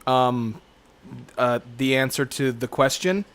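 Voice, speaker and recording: A young video creator chatting on a game livestream, rambling and hesitant with many restarts, joking along. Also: male voice, medium pitch, slow, fairly bright tone. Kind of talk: casual chat over a game stream.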